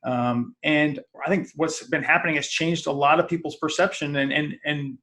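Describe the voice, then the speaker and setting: A man speaking as a guest in a casual sports discussion, in a measured, hesitant way. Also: fairly high pitch, steady tone, bright, forceful tone. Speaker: fan site founder guest.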